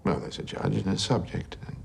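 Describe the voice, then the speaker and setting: An actor playing a hesitant, cerebral wartime codebreaking genius in a film drama, speaking in a halting, precise, pedantic way. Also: male voice, sits low, fairly even intonation, gravelly, a little hiss or hum. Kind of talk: period film drama dialogue.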